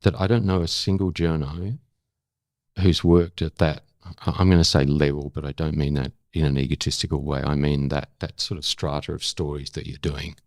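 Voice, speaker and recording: An older man, telling stories in conversation in a thoughtful, halting way that often corrects itself. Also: rumbling low, steady tone, darkish tone, no echo, clean studio sound. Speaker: interview guest, former journalist and actor. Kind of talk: reflective conversational storytelling.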